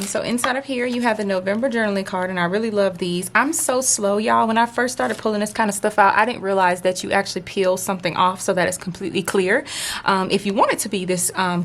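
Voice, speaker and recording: Lady in her 30s, bit congested, friendly rambly planner talk, middle pitch. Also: fairly bright tone, slight background noise. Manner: friendly, rambling, lots of fillers and "y'all". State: slightly stuffy, congested from sinus trouble. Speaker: planner unboxing vlogger. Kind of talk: casual chatty unboxing narration. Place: indoors at a desk under lights. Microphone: overhead camera, hands only.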